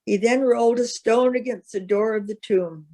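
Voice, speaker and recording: A woman's voice: fairly high pitch, moderate pace, mellow. A woman reading a gospel passage aloud as scripted narration. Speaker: scripture reader.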